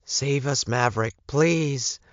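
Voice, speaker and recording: A man's voice: mid-range voice, takes it slowly, gravelly, slightly rough, dry recording, pitched above the performer's own voice, full character voice. A man voicing a frightened, pleading female werewolf in danger in an audiobook.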